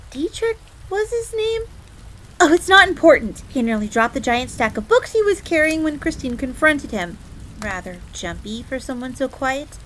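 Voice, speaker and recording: A high-voiced fox maid in an audio roleplay. She is a proud, jealous tsundere servant, quick to get indignant and flustered, yet lowly in her own eyes. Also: fairly bright tone, poor audio. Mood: huffy recounting. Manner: indignant, stammering when flustered, refers to herself in third person.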